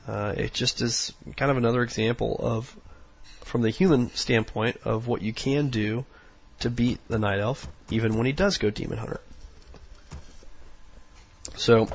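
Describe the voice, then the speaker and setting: A hoarse, sniffly man with a raspy voice, rambling through casual strategy commentary with lots of fillers. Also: mid-range voice. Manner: rambling, many fillers, instructional. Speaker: game commentator.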